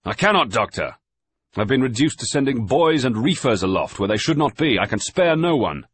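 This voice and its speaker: A man shouting as a ship's sailing master in a gale. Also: medium pitch, big pitch swings, moderate pace, breathy edge, no room sound, pitched above the performer's own voice. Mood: shouting urgently. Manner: shouting, urgent, commanding.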